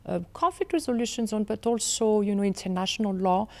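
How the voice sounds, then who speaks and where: A woman in her forties with a French accent, a guest in an interview, speaking in a measured way with many fillers and restarts. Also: mid-range voice, expressive. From France. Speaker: law professor, guest. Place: studio set with armchairs. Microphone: desk mic on boom arm.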